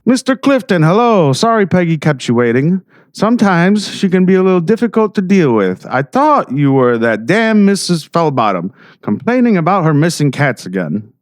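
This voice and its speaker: A pompous small-town mayor, acted by a man for an audio drama, talks in a higher male voice with big swings in pitch. He is scheming and eager to please those who can keep him in power, and the sound is dry and close. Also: very animated, normal speed, no room sound. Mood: ingratiating, pompous.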